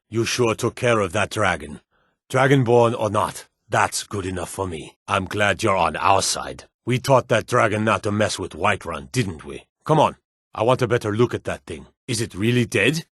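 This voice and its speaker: A man's voice: fairly low pitch, a bit bright, breathy edge, dry recording, studio quality. A man playing an earnest, superstitious Nord town guard and soldier in a fantasy video game, speaking in a plain, hardy way. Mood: earnest, resolute.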